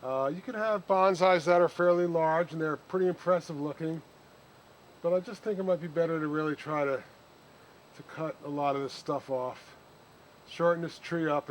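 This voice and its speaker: A man hosting an unscripted hands-on plant demonstration, speaking haltingly and thinking aloud as he works. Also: fairly high pitch, a little hiss or hum. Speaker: bonsai hobbyist host.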